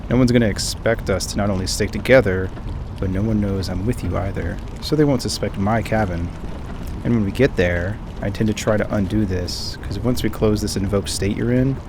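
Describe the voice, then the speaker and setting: Actor as calm pragmatic male ally, low voice, measured and reassuring, audio drama, clear boat and water noise. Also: natural voice. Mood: matter-of-fact. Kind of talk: audio roleplay acting. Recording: noisy, water and boat ambience.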